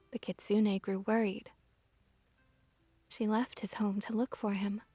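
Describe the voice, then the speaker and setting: A woman softly narrating a scripted ASMR story in a gentle, measured, breathy whisper. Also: mid-range voice, dry recording. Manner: soft, gentle, measured delivery.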